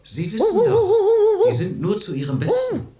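A man's voice: very high voice, wildly swooping pitch, unhurried, warm tone, soft background music, heavily acted. A man playing a patronizing, coldly authoritarian institution doctor in a German radio drama. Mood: loud, cajoling.

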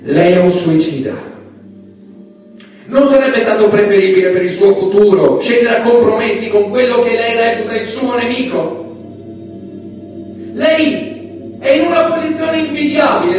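An actor playing a stern, self-righteous judge who declaims and accuses in a theatrical courtroom drama. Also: male voice, extremely high pitch. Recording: very echoey stage, far from the mic.

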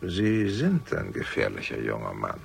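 Old dad in a radio crime play, super deep croaky voice, formal n controlled, quietly menacing. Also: male voice, wildly swooping pitch, full character voice. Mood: calm, probing. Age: older. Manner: formal, measured, deliberate. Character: older father, composed, menacing guardian of a decaying house. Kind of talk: German radio crime drama acting.

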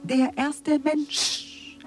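An actress playing a serene, superior alien authority in a German radio drama, speaking in an aloof, deliberate way. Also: female voice, high voice, flat delivery. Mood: superior, detached.

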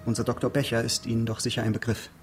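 A man's voice: mid-range voice, completely flat delivery, slight background noise, natural voice. An actor as a fussy, self-important male busybody. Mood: eager, precise. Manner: precise, hesitant, deliberate, mildly pompous. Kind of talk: naturalistic German radio crime drama acting.

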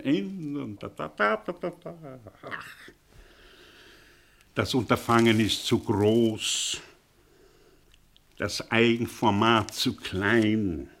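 An actor in a German radio drama playing a cynical, agitated professor who declaims bitterly in a theatrical, rhetorical, halting way. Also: male voice, lowish voice, fairly bright tone, heavily acted.

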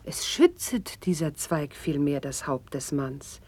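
An actress playing a poised, gracious court noblewoman in a classical radio verse drama, with a breathy husky voice and stately delivery. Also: low voice, lively intonation, takes it slowly, warm tone, heavily acted. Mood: celebratory, assured.

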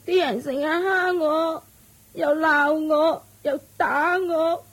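Meek young maid girl, very high voice, slow whimpering, hesitant, radio play. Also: steady tone, fairly bright tone, rough recording. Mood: tearful. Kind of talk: Cantonese radio drama acting.

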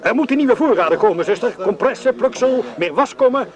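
An actor playing a harried, commanding army surgeon major in a radio drama, giving urgent orders. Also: male voice, extremely high pitch, lively intonation, brisk, a crowd in the background. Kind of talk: Dutch radio drama acting.

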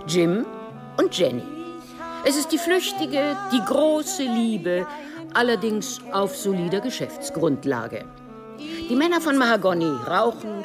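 A woman narrates a scripted radio feature in a mid-pitched voice. Her delivery is dramatic and deliberate, with animated rises and falls, and music plays behind her. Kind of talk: scripted narration of an opera synopsis.

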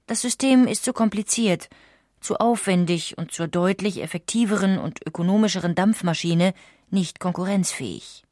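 Female narrator, lower register, measured and factual documentary read, dry studio sound, faint music. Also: darkish tone, no echo.

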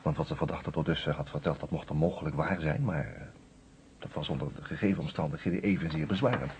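Deep-voiced, musing police inspector. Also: male voice, fast talker, a little mellow. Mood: wry, musing. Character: musing male police inspector recounting his case to a friend. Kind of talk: Dutch radio play acting. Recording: dull, noisy old radio recording.

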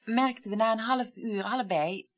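A woman's voice: a little high, moderate pace, lightly acted. An actress playing a detached, wry female former reporter in a radio play, speaking articulately and dryly. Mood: matter-of-fact. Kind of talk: 1980s Dutch radio play acting.

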